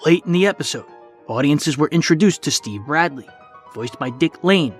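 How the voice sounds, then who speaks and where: A man's voice: fairly high pitch, expressive, normal speed, soft background music. A man narrating a scripted audio documentary as a podcast narrator.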